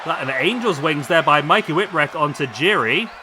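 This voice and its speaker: A man commentating on a wrestling video game, losing his voice, chatty and excitable as he calls the action. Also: a little high, brisk. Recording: loud game crowd noise behind. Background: video game crowd and arena sound.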